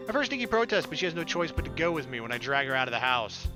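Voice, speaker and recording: A young man reads a visual novel aloud for a let's play. His voice is on the higher side, playful and casually irreverent. Music is clearly heard behind him. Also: sing-song, racing. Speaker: let's player.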